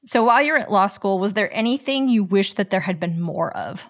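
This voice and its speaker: A woman's voice: mid-range voice, expressive, brisk. A woman hosting a podcast, reading a polished intro and then asking interview questions. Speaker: podcast host.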